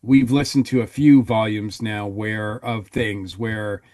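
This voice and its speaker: A man on a podcast panel giving a casual review, halting as he thinks aloud. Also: lowish voice, fairly even intonation, a little mellow, no room sound, studio quality.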